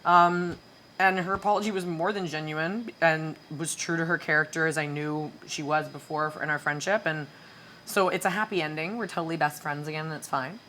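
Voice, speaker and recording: A young woman from Canada with a naturally low voice, a singer chatting casually on a podcast, full of fillers and laughter. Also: steady tone, brisk, bright, forceful tone, a little hiss or hum. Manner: chatty, laughs often, swears, many fillers. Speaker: guest singer.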